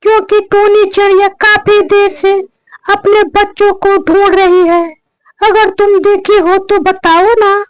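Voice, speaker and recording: बेहद पतली, चीं-चीं करती तोते की आवाज़. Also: male voice, level tone, unhurried, no echo. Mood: asking. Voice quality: squeaky, artificially high. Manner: earnest, brotherly, polite. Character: helpful male parrot, caring and eager, cartoon bird.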